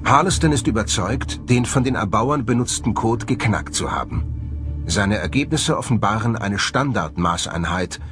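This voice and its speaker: A man's voice: on the low side, lively intonation, normal speed. A man presenting a documentary with scripted narration. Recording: music bed under the voice. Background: background music.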